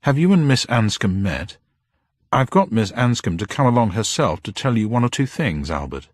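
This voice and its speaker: Male narrator as storybook fiancée, higher pitch, friendly, protective, brisk, tactful, dry close sound. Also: big pitch swings, dry recording. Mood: friendly, apologetic. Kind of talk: dramatised audiobook character voice.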